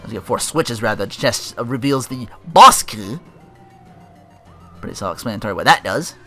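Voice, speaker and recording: A man hosts a let's play in a bright, animated voice. He rambles casually, swears and corrects himself as he goes, while faint game music plays behind him. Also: medium pitch, normal speed. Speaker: let's player, host. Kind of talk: casual gameplay commentary with swearing.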